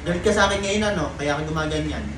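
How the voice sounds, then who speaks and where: A young man grumbles defensively in casual bickering, repeating his retorts. He sounds off-mic in an echoey room, with clear noise around him. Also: a little high, racing, far from the mic. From Philippines. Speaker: boyfriend being pranked in a couple's vlog.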